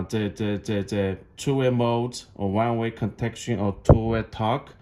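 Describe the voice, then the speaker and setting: Male product demonstrator, non-native English speaker, lowish pitch, unhurried and halting, walking through setup steps. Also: a little mellow. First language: not English. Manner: halting, repeats phrases. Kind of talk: step-by-step tutorial, unscripted.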